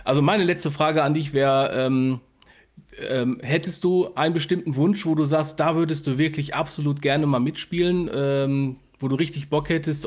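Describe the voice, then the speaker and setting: A man, chatting casually as a podcast co-host and interviewer. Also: mid-range voice. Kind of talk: casual chat.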